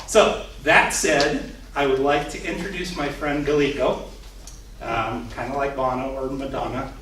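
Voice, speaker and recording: A man's voice: medium pitch, fairly even intonation, measured pace, a bit bright, slight background noise. A man hosting an event, making friendly announcements and introducing the next speaker. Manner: upbeat and friendly, a few stumbles. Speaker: event host. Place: coworking space event room. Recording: echoey room, mic at a distance.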